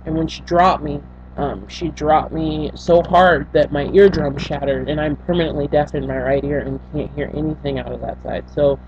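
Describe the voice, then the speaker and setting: A young woman from Senegal speaks into a built-in webcam mic, picking her words haltingly with lots of restarts. The audio is dull and lo-fi, with a faint hum underneath. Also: low voice, steady tone. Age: young adult. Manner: halting, with many fillers and restarts. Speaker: vlogger. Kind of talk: personal storytelling to camera. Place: small bedroom indoors.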